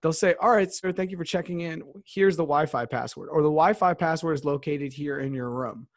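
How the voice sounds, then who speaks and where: A man's voice: fairly high pitch, a little fast, dry recording, clean studio sound. A man hosting a podcast in a casual conversation, talking in loose, rambling sentences full of restarts and fillers. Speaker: podcast host.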